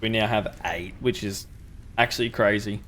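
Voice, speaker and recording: A man's voice: lowish voice, fairly even intonation, normal speed, fairly bright tone, soft background music. A young man with a sore throat, casually talking through a factory-building game as the channel's host, thinking aloud with ums. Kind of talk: gameplay commentary.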